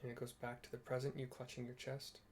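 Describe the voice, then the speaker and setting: A man giving casual, halting descriptive commentary as he plays through a game. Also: medium pitch, completely flat delivery, slight background noise. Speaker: game streamer narrating a playthrough.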